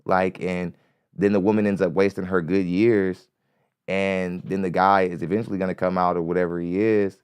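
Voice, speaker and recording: Male podcast host, light-voiced, rambling and profane, smooth tone, close dry mic. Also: sits low, little pitch movement, normal speed, no room sound. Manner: rambling, repeats himself, curses freely. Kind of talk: casual commentary on a clip. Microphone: studio mic.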